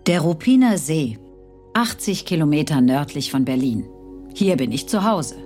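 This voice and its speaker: An actress playing a murdered woman in her sixties who tells her own life story in a measured, wistful way. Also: sits low, lively intonation, a little mellow. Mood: reflective. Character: 61-year-old murdered woman narrating her life from beyond death. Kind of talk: scripted dramatized first-person narration. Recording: clear music bed behind the voice.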